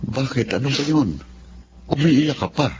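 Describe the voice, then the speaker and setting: A man's voice: medium pitch, lively intonation, unhurried, dull audio, noisy background, natural voice. A man with a Filipino accent playing a hot-tempered, resentful husband in a radio drama. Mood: concerned.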